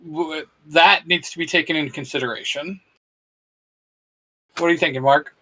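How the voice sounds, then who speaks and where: Bright-voiced man in fan chat. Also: a little high, normal speed, poor audio. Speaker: co-host. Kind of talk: casual fan discussion.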